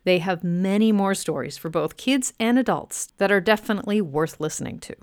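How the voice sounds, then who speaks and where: A woman's voice: medium pitch, a little fast, clean tone, no echo, clean studio sound. A woman hosting a radio show, giving a scripted introduction.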